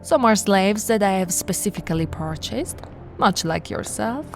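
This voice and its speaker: A woman voicing a possessive, seductive, haughty vampire noblewoman in an intimate roleplay, with theatrical drawn-out words and breathy whispers. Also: medium pitch, expressive, soft background music, close to an everyday voice. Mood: haughty, amused. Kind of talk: intimate ASMR audio roleplay.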